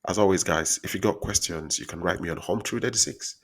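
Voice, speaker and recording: A man with a Nigerian accent giving earnest, casual relationship advice straight to his viewers, speaking softly because he is traveling. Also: low-pitched, fairly quick, husky. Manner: earnest, repetitive, addresses viewers as guys. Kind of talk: casual advice monologue to camera.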